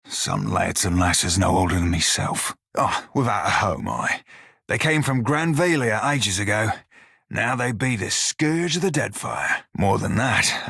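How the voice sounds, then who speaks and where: A roguish little furry from a fantasy video game, speaks in a husky, lowish voice with a salty drawl. He is cocky, wry and bawdy but loyal, and the recording is dry and close. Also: fairly bright tone, no echo, exaggerated voice. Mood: grim, frank. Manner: salty, drawling pirate dialect, sly and wry. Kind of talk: video game character dialogue.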